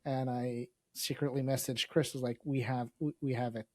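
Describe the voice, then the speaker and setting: A man's voice: mid-range voice, fairly even intonation, moderate pace, a little mellow, no room sound. A man from Lebanon whose first language is Arabic, hosting a casual podcast in a hesitant, wry way. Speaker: podcast host.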